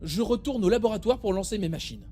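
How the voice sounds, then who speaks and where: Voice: mid-range voice, lively intonation, fast, lightly acted. A man playing a weary, blunt laboratory crew member in a space audio drama. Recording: faint music behind the voice.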